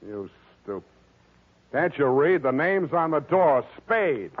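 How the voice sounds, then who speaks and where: An actor playing a weary, sardonic hardboiled private detective with curt, slangy delivery in an old-time radio drama. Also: male voice, on the high side, very animated, slow, heavily acted. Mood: wry, impatient. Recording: noisy, tinny old-time radio recording.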